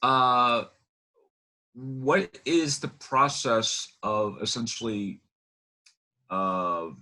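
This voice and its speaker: A man's voice: medium pitch, drawn-out words, bright, forceful tone, rough recording. A man hosting an interview, phrasing his thoughts in a wordy, roundabout way. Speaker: interview host.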